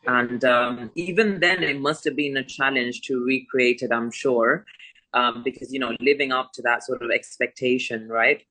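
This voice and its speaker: A man's voice: mid-range voice, fairly even intonation, moderate pace, pushed and bright, low-quality recording. A man who grew up in Spain, interviewing guests in a friendly, enthusiastic way with plenty of fillers. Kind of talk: casual interview.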